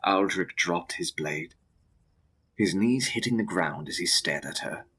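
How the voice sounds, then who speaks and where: A man narrates gothic fiction in a dramatic, scripted style. His voice is low and husky, with a bright, forceful edge that keeps the words tense. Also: expressive, a little slow. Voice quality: deep, husky.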